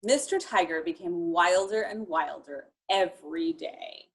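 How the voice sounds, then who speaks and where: A woman reading a picture book aloud to young children in an expressive, encouraging way, talking directly to them. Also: on the low side, a little slow.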